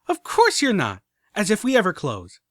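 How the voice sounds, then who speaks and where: A man voicing an excitable young pink filly who squeals, giggles and bounces as she talks. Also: high voice, normal speed, no echo, clean studio sound, pitched above the performer's own voice. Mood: excited. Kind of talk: audiobook character reading.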